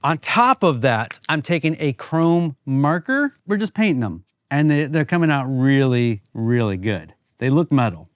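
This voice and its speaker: A man's voice: medium pitch, sing-song, measured pace, hardly any pauses. A man hosting a woodworking vlog, explaining things in an informal, plain-spoken way. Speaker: host of a woodworking channel. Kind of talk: casual workshop vlog narration.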